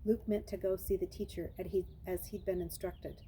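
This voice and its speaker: A woman's voice: fairly low pitch, fairly even intonation, moderate pace, warm tone, a little hiss or hum. A woman reading a children's novel aloud, narrating expressively and dramatizing the story.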